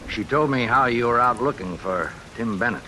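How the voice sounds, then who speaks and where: A man's voice: medium pitch, normal speed, pushed and bright, lightly acted, poor audio. An actor playing a weary, fatherly sheriff with an American accent, needling in a folksy, dry way in an old radio drama. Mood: dry reproach. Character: weary, wry small-town sheriff, fatherly but needling. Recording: hissy old radio recording with rain.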